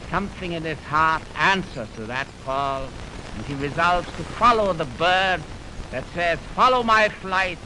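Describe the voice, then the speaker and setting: Slow, theatrical 1920s radio man, noisy recording. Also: medium pitch. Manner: theatrical, florid, dramatic storyteller. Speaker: radio music program host. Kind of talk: 1920s radio music commentary, storytelling. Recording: noisy, warped 1929 radio aircheck on film.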